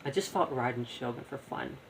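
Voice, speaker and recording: A man's voice: medium pitch, fairly quick, vocal fry, background noise. A young man giving casual live gameplay commentary, rambling and thinking aloud. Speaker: gaming streamer. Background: game music.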